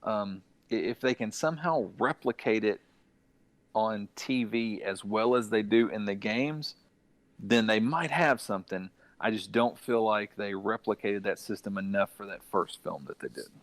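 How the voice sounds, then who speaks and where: A man's voice: fairly low pitch, normal speed, dry recording. A man in a casual podcast discussion about video games, talking in an explanatory manner. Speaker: podcast panelist.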